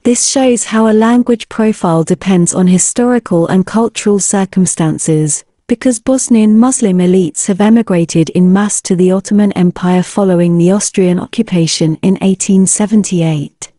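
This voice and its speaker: A woman narrating a scripted history lecture in an even, read-aloud manner. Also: mid-range voice, normal speed, hardly any pauses, no room sound, clean studio sound.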